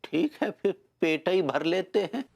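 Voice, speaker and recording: A man's voice: sits high, lively intonation, unhurried, vocal fry, noisy background, exaggerated voice. An actor playing a haughty, wheedling man who begs for alms in a broad Hindi sitcom.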